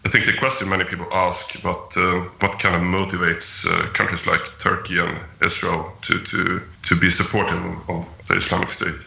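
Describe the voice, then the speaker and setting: A man hosting a radio talk show, asking questions in a halting way with many pauses and restarts. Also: low voice, flat delivery, vocal fry, sharp tone, echoey room. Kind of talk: hesitant interview questions.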